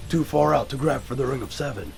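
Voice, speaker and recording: A man playing a brooding, guarded vigilante in an audio drama, terse and controlled with a gravelly voice. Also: fast talker, darkish tone, faint music behind, lightly acted. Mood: tense, calculating. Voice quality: low, gravelly.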